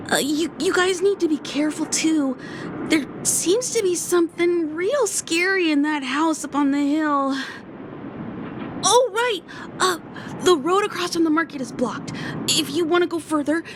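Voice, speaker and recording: A woman voicing a timid, grateful poor young boy in a video game cutscene, hesitant and stammering. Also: very high voice. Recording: clearly noisy game ambience behind voice.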